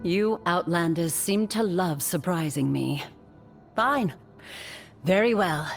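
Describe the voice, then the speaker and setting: An actress playing a composed, authoritative rogue leader in a fantasy game, formal, measured and commanding. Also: female voice, on the low side, expressive, creaky, recorded from a distance, soft background music, heavily acted. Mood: grave, resolute.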